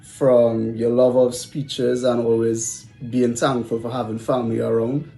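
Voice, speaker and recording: Mellow, even-voiced man in an echoey room. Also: mid-range voice, unhurried, creaky. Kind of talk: personal farewell tribute. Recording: echoey room, faint music behind.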